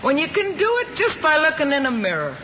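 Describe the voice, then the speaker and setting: A chatty, opinionated counter worker in an old film. His very high voice is folksy and animated, over a dull, noisy soundtrack. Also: brisk, full character voice.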